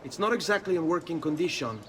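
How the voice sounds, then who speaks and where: Young Italian apprentice: curious, well-mannered, very expressive intonation, game dialogue over light background noise. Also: male voice, fairly high pitch, fast talker. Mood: curious, polite. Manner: courteous, questioning. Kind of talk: video game voice acting.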